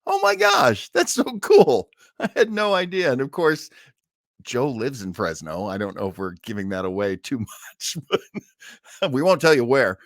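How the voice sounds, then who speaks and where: A man's voice: medium pitch, huge pitch swings, moderate pace, gravelly, no room sound, clean studio sound. A man hosting a listening party, chatting casually with gushing enthusiasm. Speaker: host of an old-radio listening party. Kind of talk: casual excited commentary.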